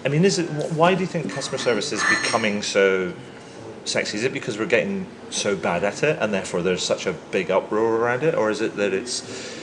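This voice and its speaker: A man's voice: fairly low pitch, expressive. A man hosting an interview podcast, friendly and chatty, asking loose, rambling questions. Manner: friendly, chatty, quick to joke and laugh. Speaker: podcast host. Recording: noisy room.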